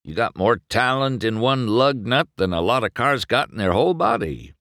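A man's voice: mid-range voice, animated, normal speed, vocal fry, a bit bright, no echo, studio quality, voice pushed up. A man voicing an older male champion race car who gives blunt, folksy advice. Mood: advising.

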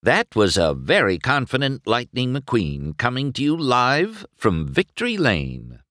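A man's voice: mid-range voice, wildly swooping pitch, unhurried, a bit bright, no echo, clean studio sound, voice pushed up. A man playing an eager female reporter giving a live on-camera race report in a storybook. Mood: brisk, eager.

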